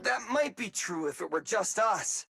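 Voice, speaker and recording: A man playing an excitable, goofy, loyal android sidekick in a game cutscene, exclaiming and whining dramatically, with noise behind him. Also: high voice, normal speed, gravelly, slightly rough, exaggerated voice. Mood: earnest. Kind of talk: animated game cutscene acting.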